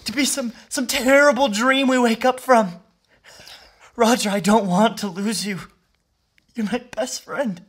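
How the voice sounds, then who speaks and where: A man's voice: very high voice, a little slow, breathy edge, heavily acted. Overwrought, guilt-ridden young man, acted by a man. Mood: fearful, sobbing. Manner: pleading, stammering, overwrought. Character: guilt-ridden, frightened young man, anguished best friend. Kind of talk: emotional audio drama acting.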